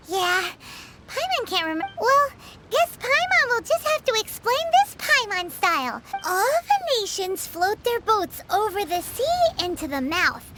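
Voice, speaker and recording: A woman's voice: very noisy, heavily acted. A woman voicing a tiny, childlike fairy guide in a video game, chirpy and easily flustered. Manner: squeaky, chirpy, flustered, prone to exasperation. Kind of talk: animated video game voice acting. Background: game music.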